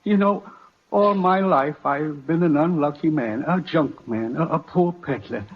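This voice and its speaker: An actor playing an effusive, grateful poor junk peddler who gushes and repeats himself in an old radio comedy. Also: male voice, a little high, a little slow, slightly rough. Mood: awed, elated. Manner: gushing, repeats phrases. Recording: muffled vintage radio broadcast.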